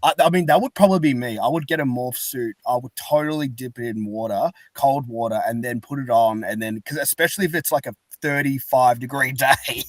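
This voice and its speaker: A man's voice: medium pitch, brisk, hardly any pauses, no echo. A man chatting casually as a podcast host, rambling and informal. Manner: rambling, informal, restarts thoughts. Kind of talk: casual chat.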